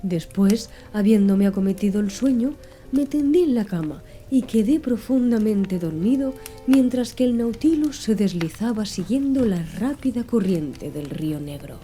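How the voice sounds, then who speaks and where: A woman narrating an ASMR-style audiobook in a soft, slow and measured reading. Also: medium pitch, expressive. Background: faint background music.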